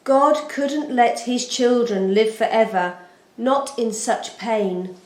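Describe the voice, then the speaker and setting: A woman storyteller giving an expressive, solemn and deliberate narration of a scripted children's Bible story. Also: fairly high pitch. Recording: roomy, echoing sound.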